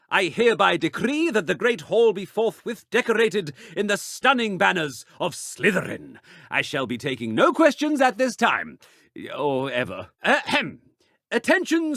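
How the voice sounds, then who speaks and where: A man's voice: sits high, a bit bright, dry recording, studio quality, exaggerated voice. A man voicing a pompous, imperious school authority in a video game, declaiming formally. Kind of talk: scripted video game announcement.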